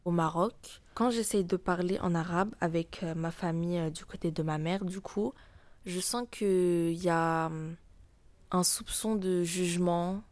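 A teenage girl from France with a French accent, answering interview questions casually and pausing often. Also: on the low side, fairly even intonation, darkish tone, no room sound. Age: teens. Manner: hesitant, many pauses with "euh".

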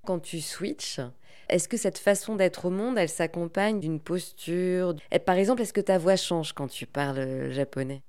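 Woman interviewer, deep low voice, calm measured questions. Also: clean tone.

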